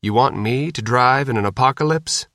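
A man's voice: medium pitch, big pitch swings, moderate pace, a bit bright, husky, dry recording, clean studio sound, voice pushed up. A man reading an audiobook voices a fifteen-year-old daughter, indignant and nervous, questioning and protesting. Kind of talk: audiobook character dialogue.

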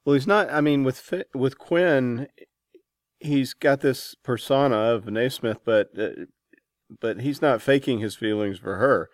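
Guy interviewer, casual chat, mid pitched voice, real dry close sound. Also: a little slow, no echo.

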